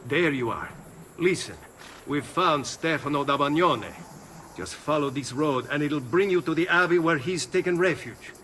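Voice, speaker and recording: An actor voicing a brisk, helpful soldier ally in a video game, speaking with urgency. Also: male voice, fairly high pitch, expressive, bright, forceful tone, soft background music. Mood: urgent. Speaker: allied soldier.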